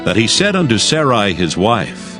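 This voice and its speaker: A man with a fairly low voice narrates scripture in a solemn, measured way. His scripted storytelling sits over clearly audible music. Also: very animated.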